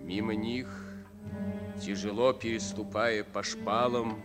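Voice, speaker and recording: An actor narrating a Soviet wartime radio play in a grave, deliberate, declamatory manner. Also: male voice, lowish voice, steady tone. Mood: solemn. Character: solemn narrator of a wartime epic. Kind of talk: solemn dramatic scripted narration of a Soviet war radio play. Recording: old radio play, loud music behind. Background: music.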